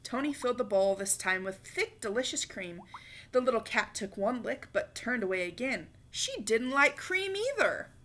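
A woman reads a children's storybook aloud. Her voice is high and bright, with big expressive swings. She leans on key words and plays up the drama. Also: normal speed. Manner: animated, emphatic, acts out dialogue. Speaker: storybook reader. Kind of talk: expressive read-aloud of a children's picture book. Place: small living room, indoors on a couch.

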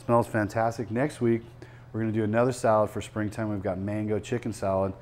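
A man's voice: on the low side, brisk, slight background noise. Older man chatting through a cooking demo. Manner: relaxed, chatty, explains as he works. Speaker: chef and cooking show host. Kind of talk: casual cooking demonstration. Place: restaurant dining room, indoors.

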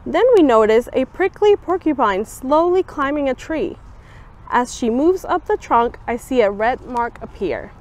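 Young woman, higher voice, expressive picture-book read-aloud, outdoor camera recording. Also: measured pace. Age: young adult. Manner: expressive storybook reading with lively voiced quotes. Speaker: student volunteer reader. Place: outdoors on a campus bench.

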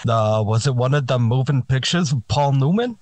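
A man's voice: mid-range voice, expressive, moderate pace, a little mellow, no echo, natural voice. A man playing a dim, good-natured tavern regular with a dopey, hesitant manner in a radio comedy. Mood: dim, puzzled. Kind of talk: old-time radio comedy acting.

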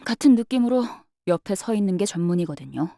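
A woman voicing a brisk young female knight-bodyguard in a game, polite but curt, with a faintly sardonic, matter-of-fact delivery. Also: medium pitch, animated, creaky, dark tone, no room sound. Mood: confident, wry. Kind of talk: scripted game character voice lines.

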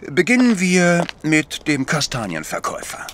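Mild observant priest, higher pitch, animated, pedantic, audio drama, noisy backdrop. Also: male voice, moderate pace. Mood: thoughtful. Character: mild, precise, observant little male priest. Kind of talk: German audio drama acting.

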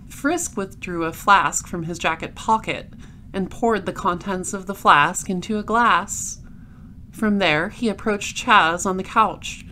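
A woman acts a composed female detective narrating her case in an audio drama. Her voice is a little low, measured and wry, on a recording with some faint noise. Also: bright, forceful tone. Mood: focused narration.

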